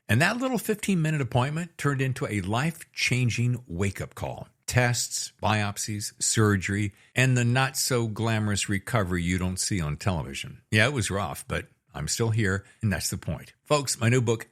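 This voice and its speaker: A man delivering a scripted promo for his own book, speaking directly and bluntly with wry humour. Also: on the low side.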